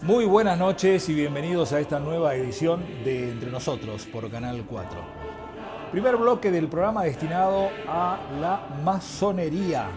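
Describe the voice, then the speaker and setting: A man with an Argentine accent hosting a television interview show, asking quick, probing follow-up questions. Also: fairly high pitch, animated, music in the background.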